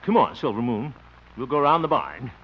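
A man's voice: mid-range voice, big pitch swings, brisk, crowd noise, close to an everyday voice. An actor playing a nervous, lovestruck middle-aged man who stammers and fusses, in a 1950s radio comedy. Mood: flustered. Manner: flustered, stammering. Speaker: lead. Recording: muffled 1950s radio recording with music.

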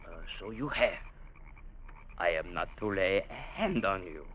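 An actor playing a brutal, bullying captain in an old radio drama, menacing and mocking, barking orders. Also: male voice, low voice, animated, measured pace. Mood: mocking menace. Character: brutal, bullying sea captain ruling a jungle trading post. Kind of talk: 1940s-50s radio drama acting. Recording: muffled old radio recording, faint noise.

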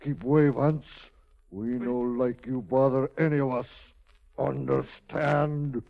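A man's voice: mid-range voice, fairly even intonation, slow pace, creaky. A man playing a surly, threatening giant who speaks blunt broken English with a foreign accent in a 1940s radio drama. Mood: menacing. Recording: muffled 1940s radio recording.

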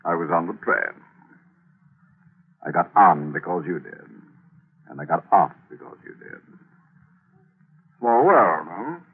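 Deep husky smug hitman, tinny old radio drama. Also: expressive, heavily acted. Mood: smug menace. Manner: smug, teasing, slow menace. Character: sly menacing male killer, peanut-cracking, mocking. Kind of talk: 1940s radio acting.

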